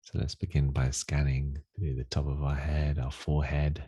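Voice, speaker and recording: A man leading a guided meditation in a gentle, unhurried, soothing manner with long pauses. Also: extremely low pitch, little pitch movement, breathy edge, no echo, studio quality. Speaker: meditation teacher.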